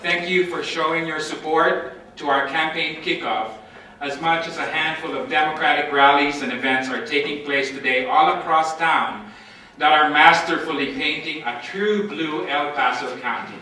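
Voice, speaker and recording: A man's voice: fairly high pitch, moderate pace, pushed and bright. A man from the Philippines giving a formal, emphatic campaign speech as a candidate for office. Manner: formal, emphatic oratory with lists. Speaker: political candidate. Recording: echoey amateur recording from far off.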